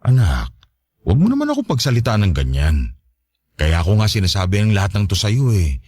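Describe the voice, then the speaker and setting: Guy playing patient filipino dad, husky, voice swings a lot, coaxing, radio drama. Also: on the low side, huge pitch swings, a little fast, dark tone, no echo, clean studio sound, full character voice. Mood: pleading. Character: patient, pleading middle-aged widowed father.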